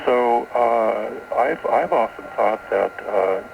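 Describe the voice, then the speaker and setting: Gravelly man on noisy shortwave radio. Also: mid-range voice, takes it slowly. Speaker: guest author. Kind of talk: radio interview, explaining esoteric beliefs. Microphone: radio broadcast, taped off air.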